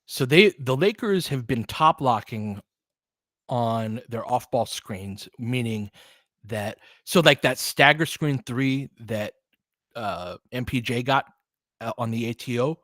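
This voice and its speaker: A man's voice: mid-range voice, animated, slow pace, a bit bright, no echo. An American man giving casual, conversational play-by-play commentary as a stream host, analytical and explanatory in the way he talks.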